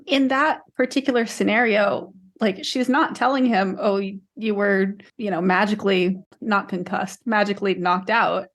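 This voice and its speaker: A woman's voice: mid-range voice, fairly even intonation, normal speed, hardly any pauses, a bit bright. Woman debating books in casual chat. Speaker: podcast co-host. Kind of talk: casual book discussion.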